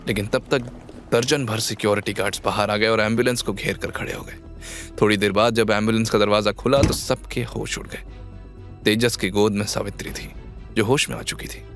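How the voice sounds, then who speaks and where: A man's voice: lowish voice, fairly even intonation, fairly quick, a bit bright, faint music behind. A man narrating a scripted audio drama story with dramatic delivery.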